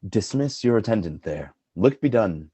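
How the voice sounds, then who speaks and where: A man acting a brooding, commanding lord in a Shakespearean radio play. His mellow, dark voice swings widely in pitch and sounds close and dry, giving curt orders. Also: lively intonation, moderate pace, slightly rough, no echo.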